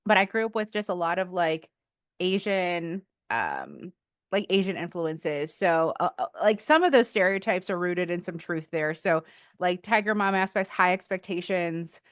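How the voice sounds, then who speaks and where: A woman in her thirties talks easily as a podcast guest, stopping and restarting often and reaching for her words with fillers. Her voice sits a little low and comes through smooth and clear. The sound is close and dry, with no room around it. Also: very animated, moderate pace, no echo. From Philippines. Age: 30s. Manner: chatty, with frequent fillers and restarts. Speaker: guest, defense contractor and Air Force veteran. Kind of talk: casual interview conversation.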